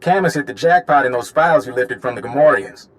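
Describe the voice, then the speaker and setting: Actor as easygoing male field agent, medium pitch, wry, informal, video game dialogue. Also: quick pace, fairly bright tone, a little hiss or hum, lightly acted. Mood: brisk, friendly.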